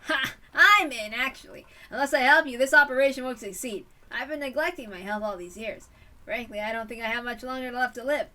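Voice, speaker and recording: A woman's voice: on the high side, fairly quick, pushed and bright. A woman voicing a bold old forensics man, blustering and emphatic, in a story reading. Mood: bold resolve.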